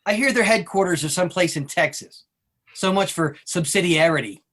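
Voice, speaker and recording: A man's voice: high voice, a little fast, fairly bright tone. A man playing a skeptical, wordy former banker in a scripted table read.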